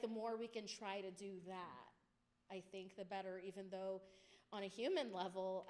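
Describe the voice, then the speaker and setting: A woman speaking on a college panel, talking thoughtfully with many "you know" fillers. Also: medium pitch. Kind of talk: panel discussion. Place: college lecture hall.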